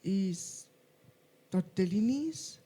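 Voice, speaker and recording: A man's voice: sits high, lively intonation, extremely slow, dark tone. A man from Spain, introducing himself nervously and stumbling over his words.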